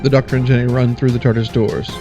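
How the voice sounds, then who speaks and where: A man narrating a fan audio drama in a plain, steady voice. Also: mid-range voice, quick pace. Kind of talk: scripted narration. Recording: slightly muffled, over music.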